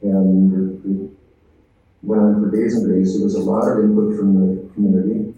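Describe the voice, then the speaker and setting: A man who serves as a school board member, making measured, hesitant remarks at a public meeting. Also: sits low, flat delivery, warm tone, dull audio. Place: indoor public meeting room. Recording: very echoey meeting room, distant, faint noise.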